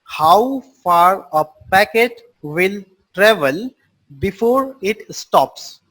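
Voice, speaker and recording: Instructor type: husky man, high voice, unhurried, repeats each definition and quizzes the class. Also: lively intonation, fairly bright tone, rough recording. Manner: explains slowly with repeated simple definitions, quizzes students. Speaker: networking instructor. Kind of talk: live online class.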